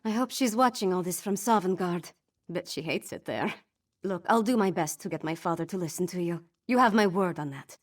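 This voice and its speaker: An actress playing a young princess in a fantasy video game, grieving and unsure but resolute, with an informal, self-deprecating way of talking. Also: female voice, fairly low pitch, normal speed, close to an everyday voice. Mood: uneasy, determined.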